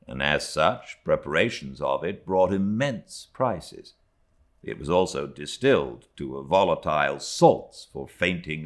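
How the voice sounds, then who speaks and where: A British man narrating literary fiction as an audiobook, in an expressive, theatrical storytelling manner. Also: sits low. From Britain.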